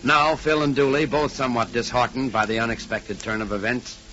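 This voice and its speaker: A man's voice: mid-range voice, steady tone, a little fast, sharp tone. A man delivering scripted narration as a 1940s radio drama announcer. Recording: dull 1940s radio recording, faint music.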